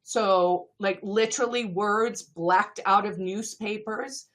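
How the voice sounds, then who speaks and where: A middle-aged woman from South Africa, a native Afrikaans speaker with a South African accent, talking as an interview guest in a lively, animated way, laughing between points. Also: medium pitch, takes it slowly. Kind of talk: conversational interview storytelling.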